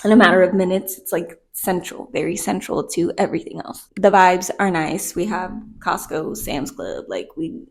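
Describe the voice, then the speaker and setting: A woman's voice: fairly low pitch, expressive, moderate pace, hardly any pauses. A young woman from the United States talking candidly in a casual personal vlog, chatty and laughing easily. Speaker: vlogger.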